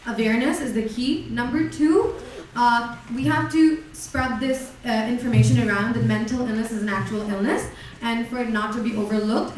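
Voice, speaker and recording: A young woman with a slightly high voice runs through her points one by one, halting on uhs. She is heard from a distance in a strongly reverberant lecture hall. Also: slight background noise. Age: young adult. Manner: hesitant, lists numbered points. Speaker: student election candidate. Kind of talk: debate answer.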